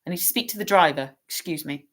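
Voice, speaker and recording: A woman reading aloud and voicing a tired, hoarse male professor who speaks quietly and firmly. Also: lowish voice, lightly acted. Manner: quiet and measured. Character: weary hoarse male professor, calm and firm.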